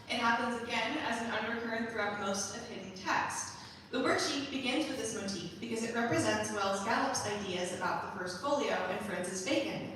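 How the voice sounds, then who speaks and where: A woman with a fairly high voice presents a musical in a measured, explanatory way, reading prepared introductions. She is heard from far off in a very reverberant hall. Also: fairly bright tone, a little hiss or hum. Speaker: presenter of her own musical. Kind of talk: scripted narration introducing songs. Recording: very echoey hall, distant, faint music.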